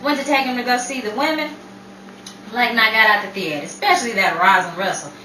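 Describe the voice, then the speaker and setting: A woman's voice: on the high side, quick pace, bright, forceful tone. A woman acting a dry, scolding wife who explains away her husband in a 1940s radio play. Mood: dry, chiding. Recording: echoey room, distant mic, faint noise.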